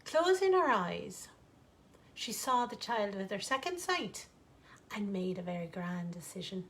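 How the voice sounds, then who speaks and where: A woman author reading a bedtime fairytale aloud in an expressive, theatrical, lilting way with dramatic pauses. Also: mid-range voice, slight background noise.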